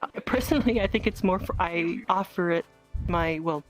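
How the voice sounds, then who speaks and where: A woman's voice: on the low side, normal speed, natural voice, poor audio. A woman playing an earnest, knowledgeable dragon who gives friendly advice in a hesitant, rambling, repetitive way in improvised online roleplay. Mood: earnest, flustered. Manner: hesitant, rambling, repeats phrases. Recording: music behind the voice.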